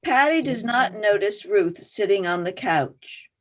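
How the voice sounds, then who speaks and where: A woman's voice: medium pitch, animated, measured pace. A woman narrator reading stage directions for a play in scripted narration.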